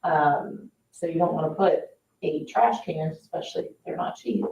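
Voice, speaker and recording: A woman's voice: deep voice, moderate pace, mellow. A woman who works as parks staff, explaining practical points with examples in an informal board discussion. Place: indoor public meeting room. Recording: echoey meeting room, distant mic, faint noise.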